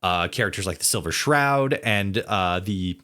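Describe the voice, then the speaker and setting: A man hosting a casual interview podcast, building long questions haltingly and repeating words as he goes. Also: low voice, expressive, sharp tone.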